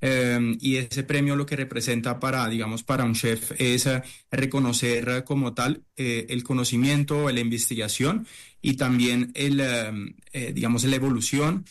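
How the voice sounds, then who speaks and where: Man, from Italy, middle-aged, chef, interview answers, halting, many fillers. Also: mid-range voice, fairly even intonation. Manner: hesitant, many fillers and restarts. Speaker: chef and restaurant owner.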